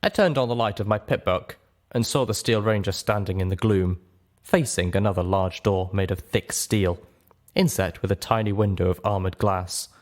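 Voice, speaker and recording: A man's voice: fairly low pitch, moderate pace. A man reading a story aloud as narrator, in dramatic first-person audiobook style.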